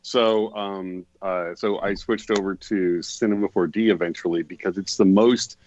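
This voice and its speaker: A man co-hosting a live stream, talking casually in halting sentences full of fillers. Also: low-pitched, steady tone, a little mellow, lo-fi sound. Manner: halting, many fillers, easygoing. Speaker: co-host.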